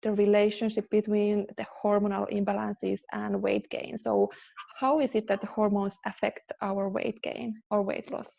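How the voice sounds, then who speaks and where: A woman's voice: mid-range voice, little pitch movement, normal speed, creaky, mellow, highs cut off. A woman from Finland, hosting a live podcast interview in an upbeat way, with fillers and restarts. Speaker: podcast host.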